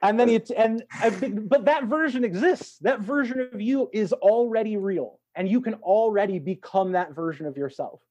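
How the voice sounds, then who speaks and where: A man's voice: sits high, moderate pace, hardly any pauses, a little mellow, no echo. A man talking in a reflective, conversational way as a podcast guest, encouraging and reassuring as he gives advice. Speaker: podcast guest, actor and tarot reader.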